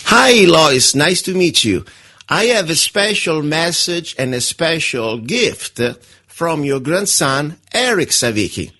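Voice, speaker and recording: A man with an Italian accent hosting a radio show, talking on a phone call in a halting, friendly way with many fillers. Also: a little high, lively intonation, sharp tone. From Italy. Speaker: radio host.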